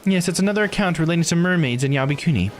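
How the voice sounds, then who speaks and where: Man putting on a high, polite, enthusiastic guide. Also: lively intonation, very fast, slight background noise, lightly acted. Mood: informative, earnest. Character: bright, courteous local guide reciting lore. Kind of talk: visual novel character read aloud. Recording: game music under the voice.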